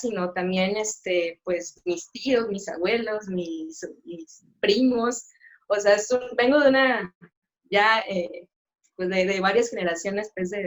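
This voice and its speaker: Hesitant woman, interpreter. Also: mid-range voice. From Mexico. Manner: hesitant, many fillers and restarts. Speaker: sign language interpreter, guest. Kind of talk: live-stream interview, casual chat.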